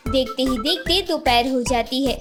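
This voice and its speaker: Female storyteller for children's tales: notably high, youthful pitch, scripted narration over clear music. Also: moderate pace.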